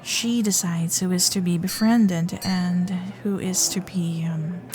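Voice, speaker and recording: A woman's voice: lowish voice, fairly even intonation, slow pace, a little mellow. A young woman playing a nervous, chatty Gilded Age heiress in a romantic audio roleplay, hesitant and genteel in manner. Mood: nervous, babbling. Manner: flustered, hesitant, babbling, genteel and formal. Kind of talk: romantic period audio roleplay, spoken intimately to listener.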